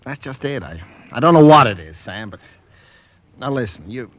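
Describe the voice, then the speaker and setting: An actor playing a nervous real estate agent who stammers uneasily, in 1940s radio drama acting. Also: male voice, mid-range voice, animated, normal speed, no room sound, close to an everyday voice.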